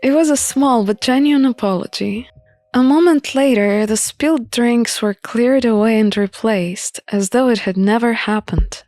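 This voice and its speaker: A woman's voice: on the high side, measured pace, a little mellow, dry recording. A woman reading scripted first-person story narration for a visual novel playthrough, with music behind her. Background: background music.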